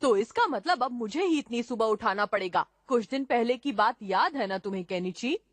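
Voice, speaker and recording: A woman voicing a dutiful, excitable young boy ninja with a childlike sing-song manner in a cartoon dub. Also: on the high side, normal speed, no room sound. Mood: grumbling. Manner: peppers talk with sing-song catchphrases.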